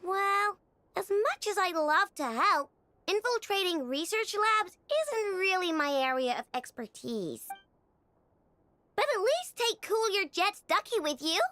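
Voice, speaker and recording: A woman's voice: extremely high pitch, expressive, measured pace, bright, forceful tone, no echo, exaggerated voice. An actress voicing a spirited, cheeky young woman in a video game, with a bouncy, playful delivery.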